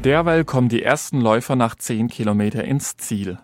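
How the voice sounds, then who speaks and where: Male reporter, mid-pitched voice, scripted radio feature narration. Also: a little fast, a little mellow, faint music behind.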